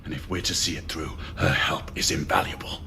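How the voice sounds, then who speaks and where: A man's voice: rumbling low, fairly quick, vocal fry, sharp tone, a little hiss or hum, heavily acted. A man playing a determined Victorian knight in a game cutscene, formal and earnest, with a British accent. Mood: grave, hushed. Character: grave, determined Victorian knight, urgent and loyal. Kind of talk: video game cutscene acting, period drama.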